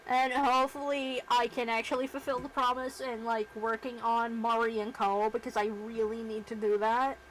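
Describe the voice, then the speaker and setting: A woman's voice: a little high, level tone, normal speed, few pauses, a little hiss or hum, poor audio. A woman streamer chatting casually while she works, rambling and self-deprecating. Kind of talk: casual livestream chat while working.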